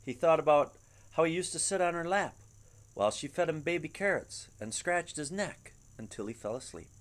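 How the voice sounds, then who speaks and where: A middle-aged man reading a children's picture book aloud at home in an expressive, dramatic storytelling manner. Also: on the high side, moderate pace. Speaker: storybook reader. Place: living room at home. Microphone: webcam at about arm's length.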